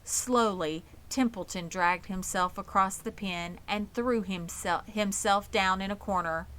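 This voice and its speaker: A woman's voice: medium pitch, a little slow, fairly bright tone. A woman reading a children's story aloud as scripted narration. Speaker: storybook reader.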